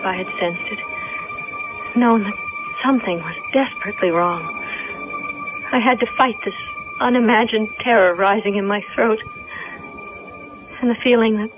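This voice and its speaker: An actress playing a frightened young heiress haunted by dread, in a 1970s radio drama style. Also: female voice, medium pitch. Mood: fearful, brooding. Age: young adult. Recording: dull vintage radio recording with music.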